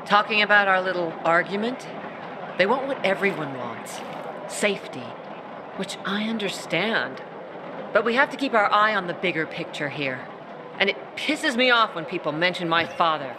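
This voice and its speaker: Tough soldier woman, actress, blunt and brisk, noise behind. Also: on the low side, expressive, lightly acted. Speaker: military officer briefing a newcomer. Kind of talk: video game cutscene acting.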